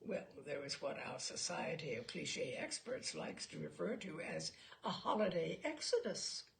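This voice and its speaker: A woman's voice: fairly low pitch, very animated, moderate pace, vocal fry, slight background noise, pitched below the performer's own voice. A woman voicing a pompous, fussy male expert witness in a humorous story, precise, proud and declamatory. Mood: pedantic, proud. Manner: precise, self-satisfied, declamatory. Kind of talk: humorous short story read aloud.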